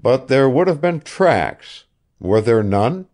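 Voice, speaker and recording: A man reading an audiobook voices a calm young seaman who questions quietly and persistently. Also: medium pitch, takes it slowly, pitched above the performer's own voice. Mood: quietly insistent.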